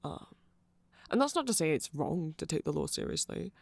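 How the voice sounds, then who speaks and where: Man as a creaky-voiced, nerdy, rambling vampire. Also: fairly high pitch, big pitch swings, no room sound, heavily acted. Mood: earnest, rambling. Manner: halting, self-deprecating rambling with small laughs. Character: nerdy, hesitant, friendly male vampire music fan. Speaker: ASMR audio roleplay performer. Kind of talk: ASMR roleplay, casual rambling chat to one listener. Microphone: close microphone.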